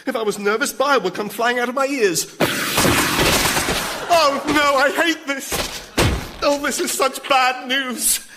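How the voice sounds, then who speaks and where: An actor playing a needy, nervous demon underling in a radio sitcom, stammering, flustered and fawning. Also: male voice, extremely high pitch, measured pace, pauses often, very noisy. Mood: flustered denial.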